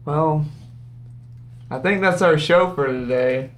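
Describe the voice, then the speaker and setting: A young man from Kentucky co-hosts a casual podcast and talks in a laid-back, self-deprecating way. His voice is slightly high. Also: slow. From Kentucky, USA. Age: young adult. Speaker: podcast co-host. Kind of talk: casual chat.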